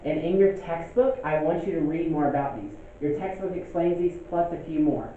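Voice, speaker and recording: A man's voice: fairly high pitch, normal speed, dark tone, slight background noise. A young man teaching a class in a conversational way, often checking in with "okay?". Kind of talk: classroom lecture. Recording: echoey room, phone-like lo-fi sound.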